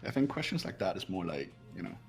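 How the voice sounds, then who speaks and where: A man's voice: low-pitched, big pitch swings, fast, creaky, faint music behind. A man talking casually as one of a group playing through a game together. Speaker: player in a group playthrough.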